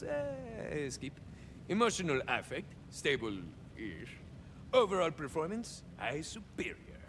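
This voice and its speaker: An actor playing a swaggering young cyborg soldier of fortune in a video game, a touched flavor, musing theatrically and landing wry deadpan punchlines. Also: male voice, on the high side, long reverb tail, background noise. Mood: self-satisfied. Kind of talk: video game character monologue.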